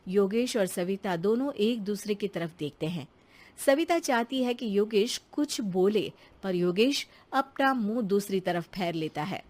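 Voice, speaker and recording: A woman's voice: mid-range voice, lively intonation, a little slow, darkish tone. A woman reading scripted narration for a cartoon story as its narrator.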